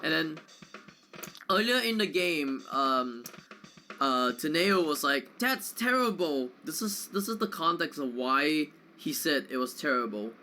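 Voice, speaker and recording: Bright, slightly high-voiced man playing and commentating. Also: animated, unhurried, faint music behind. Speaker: speedrunner commentating own play. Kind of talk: casual gameplay commentary. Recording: faint background noise.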